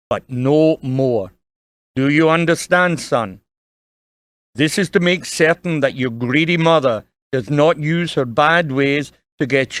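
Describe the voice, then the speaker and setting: A man playing a kindly, earnest dying father in an audio drama, gently and solemnly advising his young son. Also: a little high, no room sound, lightly acted. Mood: kindly, serious.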